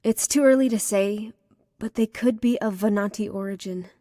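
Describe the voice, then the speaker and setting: A woman voicing a composed, learned priestess in a fantasy video game, speaking in a measured, formal and assertive way. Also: mid-range voice, warm tone, dry recording, studio quality, natural voice. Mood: calm, assured. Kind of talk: English video game cutscene acting.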